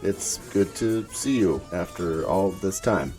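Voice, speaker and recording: A man plays a reserved, earnest young privateer, his voice dropped deep and darkened. The figure speaks with hesitation. Faint music sits behind. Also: expressive, slow pace, mellow.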